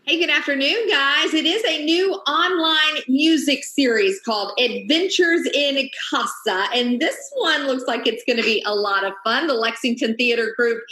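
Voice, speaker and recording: Upbeat lady tv host, really high bright voice, roomy video call. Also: normal speed, few pauses. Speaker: TV lifestyle segment host. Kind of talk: upbeat interviewing on local news. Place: indoors at home, small room.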